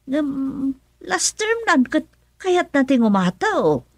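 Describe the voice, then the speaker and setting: A woman's voice: sits high, very animated, slow, no room sound, heavily acted. A woman with an Ilocano accent playing an opinionated, motherly villager in a radio comedy-drama, colloquial and gossipy. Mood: curious.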